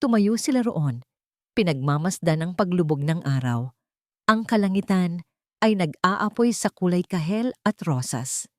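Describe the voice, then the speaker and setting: Female storyteller, lower register, dry close sound, scripted dramatic romance narration. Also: lively intonation, measured pace, no echo.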